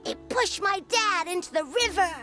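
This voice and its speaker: A stubborn little village kid. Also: female voice, very high voice, normal speed, music in the background. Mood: excited. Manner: insistent, defiant. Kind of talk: video game dialogue.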